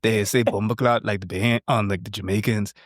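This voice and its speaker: A man's voice: lowish voice, steady tone, fairly quick, no room sound, studio quality. A young man with a thick Bahamian accent, a content creator, chatting on a podcast in a boastful, joking way full of slang. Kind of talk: casual podcast chat.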